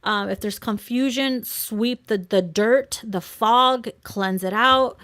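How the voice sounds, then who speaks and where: A woman hosting a live tarot reading in an informal, chatty, encouraging way, her voice a little hoarse from talking. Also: on the high side, slow, a bit bright, no room sound, studio quality. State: slightly hoarse from long talking. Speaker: tarot reader hosting a livestream. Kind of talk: casual live card reading addressed to viewers.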